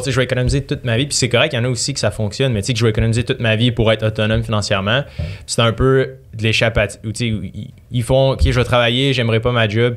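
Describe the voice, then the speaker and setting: A young man with a Québécois accent, a podcast guest explaining his ideas with halting speech. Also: mid-range voice. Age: young adult. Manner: halting, with many fillers. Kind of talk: casual chat.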